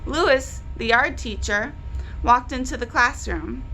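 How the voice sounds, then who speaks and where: A woman's voice: sits high, a little slow, pushed and bright, a little hiss or hum, rough recording. A woman storyteller narrating a children's story aloud, expressively and directly to young listeners. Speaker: library storyteller.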